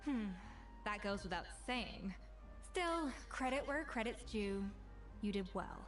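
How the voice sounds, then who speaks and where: A woman playing a proud, exacting young chef in a video game, giving crisp, commanding instructions as a strict teacher. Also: on the high side, expressive, measured pace, faint music behind. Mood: instructive, measured. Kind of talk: video game character dialogue.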